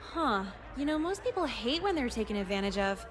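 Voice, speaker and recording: Sarcastic, higher-voiced teenage girl. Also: big pitch swings, brisk, some chatter behind. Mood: dryly probing. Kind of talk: video game voice acting.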